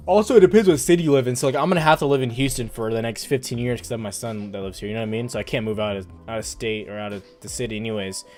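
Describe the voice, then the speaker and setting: A man's voice: medium pitch, fast talker, a little mellow, soft background music. A man in his twenties hosting a stock trading livestream, chatting casually and rambling with lots of "like". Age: 20s. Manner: laid-back, rambling, heavy use of "like". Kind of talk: casual livestream chat, reading viewer comments.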